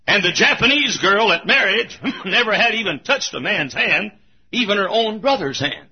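Fiery older preacher, high and lively. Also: male voice, moderate pace, breathy edge. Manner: fiery preaching, swings from hushed to shouting; chuckles through lines. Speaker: pastor. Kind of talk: sermon. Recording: muffled lo-fi recording.

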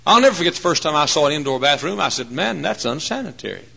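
A male preacher in his forties delivers a folksy, joking sermon. His voice sits a bit high and bright, with wide animated swings in pitch. He drops to hushed asides, then rises to loud, emphatic points. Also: quick pace, gravelly, husky. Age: 40s. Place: church.

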